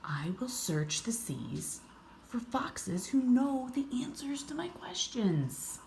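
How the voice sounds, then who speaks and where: Curious storybook fox, male, earnest and lively in pitch played by a woman, roomy sound. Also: slow pace, vocal fry, full character voice. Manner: wondering, earnest. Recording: roomy echo, faint background noise.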